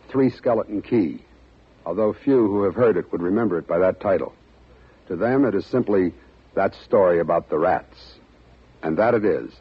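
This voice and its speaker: Husky, low-voiced man hosting old radio drama. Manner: measured, dramatic, portentous. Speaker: radio drama host and producer. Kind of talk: scripted 1950s radio introduction. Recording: muffled old radio recording.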